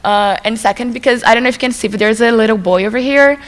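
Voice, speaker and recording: A woman's voice: a little high, fast. Chatty Brazilian teen girl in a hall. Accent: Brazilian Portuguese. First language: Portuguese. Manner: relaxed, chatty, many "like" fillers. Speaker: student presenter. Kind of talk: school assembly presentation. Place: school assembly hall. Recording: long reverb in a large hall.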